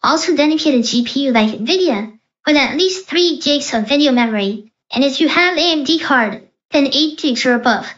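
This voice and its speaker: A man's voice: extremely high pitch, expressive, normal speed, fairly bright tone, voice pushed up. A man speaking through an AI voice changer as a young female-sounding demonstrator. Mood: explanatory. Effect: real-time AI voice changer. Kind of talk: software demonstration. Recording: dull lo-fi processed sound.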